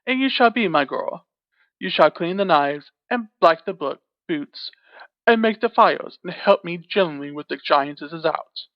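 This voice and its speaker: A woman reading a storybook aloud and voicing a gruff, bossy male giant who talks slowly and menacingly in a low, loud voice. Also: lively intonation, dry recording. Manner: booming, slow and menacing. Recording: dull, dry lo-fi recording.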